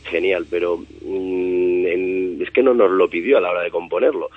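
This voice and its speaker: Hombre de voz muy grave y ronca, con música de fondo. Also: male voice, low-pitched, fairly even intonation, normal speed, no echo, phone-quality sound. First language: Spanish. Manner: thoughtful, rambling with fillers and restarts. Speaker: singer of a band, interview guest. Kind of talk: relaxed conversational interview answers.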